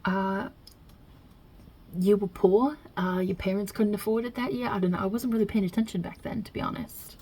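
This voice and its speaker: A woman's voice: medium pitch, fairly even intonation, normal speed, dark tone, slightly rough, lightly acted. A woman voicing God as a brash, short-tempered, non-binary Italian mob boss in an ASMR roleplay, with a put-on Italian-New York accent and a blunt, chummy, rambling delivery. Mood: dismissive. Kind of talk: ASMR roleplay interview, improvised.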